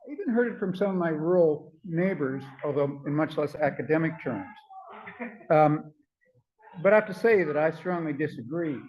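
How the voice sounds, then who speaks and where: An older man with a soft voice, giving an earnest, measured conference talk with frequent ums and uhs. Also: a little high, expressive, highs cut off, slight background noise. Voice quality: soft-spoken. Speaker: conference speaker. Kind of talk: prepared talk at a symposium.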